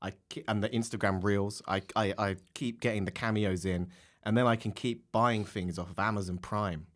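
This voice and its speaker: A man's voice: on the low side, fairly even intonation, moderate pace. A man from Norfolk, England, with an English accent, chatting in a casual, rambling and silly way as a comedian guest on a podcast. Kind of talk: casual comic chat.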